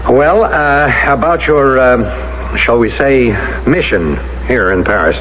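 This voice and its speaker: An actor playing an evasive, hesitant diplomat with formal, hedging speech in an old-time radio drama. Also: male voice, medium pitch, slow pace, natural voice. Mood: uneasy, placating. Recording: muffled, noisy old radio broadcast.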